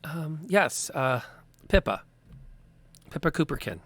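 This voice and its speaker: A man voicing a wary, weary woman who keeps a rented room, speaking slowly and reluctantly with sighs. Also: on the high side, very animated, a bit bright, no room sound, full character voice. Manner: slow, reluctant, sighing. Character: wary, weary adult woman landlady in silk robe.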